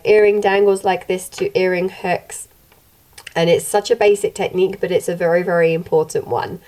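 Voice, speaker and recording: Young woman, slightly croaky, gentle steady instructions, home tutorial. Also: medium pitch. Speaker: craft channel host. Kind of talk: step-by-step tutorial narration. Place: home room, indoors.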